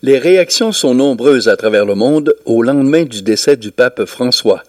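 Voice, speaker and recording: Guy reading a news report, dry close sound, measured. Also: mid-range voice, expressive, dry recording. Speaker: radio reporter.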